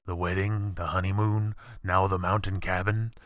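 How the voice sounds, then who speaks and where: A man's voice: low voice, flat delivery, moderate pace, fairly bright tone, no room sound, studio quality. A man narrating a scripted horror story with dramatic storytelling delivery.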